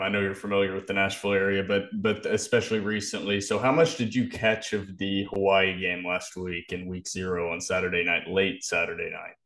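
A man's voice: deep voice, fairly bright tone. A man co-hosting a sports podcast, speaking conversationally as he interviews and comments. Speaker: podcast co-host.

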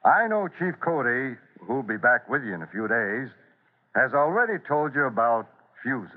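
A man playing a fatherly, authoritative deputy fire chief with a husky voice in a children's radio serial. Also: mid-range voice, sing-song, moderate pace, close to an everyday voice. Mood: earnest, instructive. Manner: urgent, instructive, authoritative. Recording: thin, narrowband old radio recording.

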